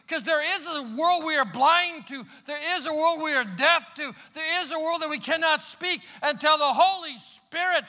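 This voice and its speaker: Male preacher, very high and ringing tone, emphatic delivery that drops to hushed asides, church hall reverb. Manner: emphatic, swings between loud calls and hushed asides. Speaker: pastor. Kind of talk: sermon. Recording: long reverb of a large hall.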